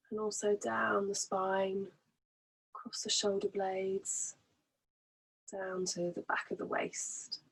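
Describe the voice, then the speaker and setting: Slow, breathy woman guiding meditation. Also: medium pitch, low-quality recording. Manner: soothing, unhurried, gently instructing. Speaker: prenatal relaxation and meditation teacher. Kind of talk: guided meditation.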